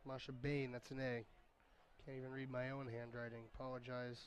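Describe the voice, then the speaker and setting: A man with a raspy, hoarse voice doing solo play-by-play commentary of a soccer match, chatty and quick to correct himself. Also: mid-range voice, level tone. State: hoarse voice recovering. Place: outdoor stadium.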